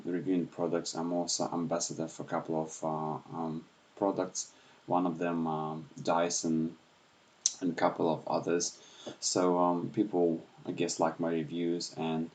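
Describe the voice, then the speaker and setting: A man from Ukraine with a Russian accent, speaking softly and unscripted at home in the early morning, with many pauses and fillers. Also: deep voice. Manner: speaks softly with frequent hesitations. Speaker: YouTuber. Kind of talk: unscripted livestream talk.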